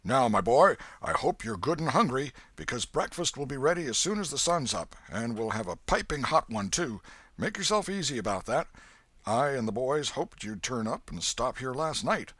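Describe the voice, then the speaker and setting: A man's voice: medium pitch, big pitch swings, normal speed, fairly bright tone, dry recording, voice pushed up. A man voicing a kindly, hearty old man in an audiobook, with a folksy, emphatic delivery. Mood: welcoming. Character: hearty, kindly old Welshman, hospitable father of grown sons. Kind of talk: audiobook character voice.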